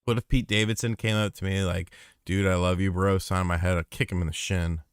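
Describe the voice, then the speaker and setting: Gravelly low-voiced man, bright edge. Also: fairly even intonation, brisk, no room sound, clean studio sound. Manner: joking, profane, rambling. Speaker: podcast co-host. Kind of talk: casual chat.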